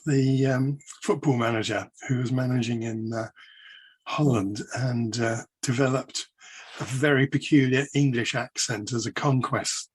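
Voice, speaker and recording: A man co-hosting a podcast, asking friendly, halting questions with fillers. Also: mid-range voice, fairly even intonation, husky, rough recording. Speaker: podcast co-host. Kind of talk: casual interview questions.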